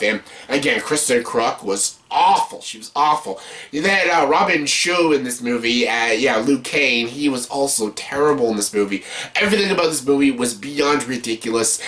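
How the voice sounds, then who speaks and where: Bright-voiced man ranting through a movie countdown. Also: medium pitch. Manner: exasperated, emphatic, repeats words for stress; blunt, casual swearing. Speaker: host of a movie countdown video. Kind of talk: opinionated unscripted movie review rant.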